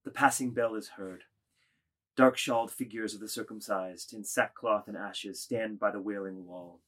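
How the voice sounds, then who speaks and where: A man reading scripted literary stage directions as narrator, in a measured delivery. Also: fairly low pitch, fairly even intonation, fairly bright tone.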